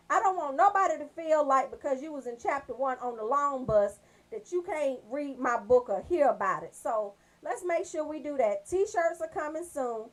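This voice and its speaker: An American woman hosting a casual radio show, chatty and slangy, joking as she talks straight to her listeners. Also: sits high. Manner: slangy, joking, bantering, addresses listeners directly.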